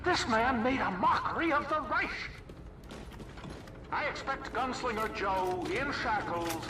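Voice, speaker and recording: An actor playing a menacing Nazi commander in a video game, pompous and sneering. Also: male voice, extremely high pitch, animated, measured pace, background noise. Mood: scornful anger. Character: menacing, theatrical Nazi commander and former dentist. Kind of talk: video game villain acting.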